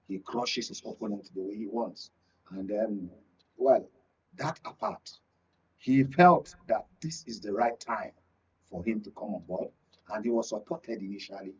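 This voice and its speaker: Male Nigerian political pundit, husky voice, expository delivery, muffled off-mic studio sound. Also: fairly low pitch, a little slow, a little mellow. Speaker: guest political analyst. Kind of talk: political panel discussion. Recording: dull, distant studio sound.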